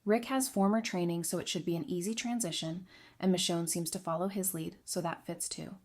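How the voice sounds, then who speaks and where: A woman's voice: fairly low pitch, fairly even intonation, a little fast, a little mellow. A woman playing a perceptive, candid advisor in an audio drama, talking in a thoughtful, frank way. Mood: frank, considered. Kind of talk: fan audio drama dialogue.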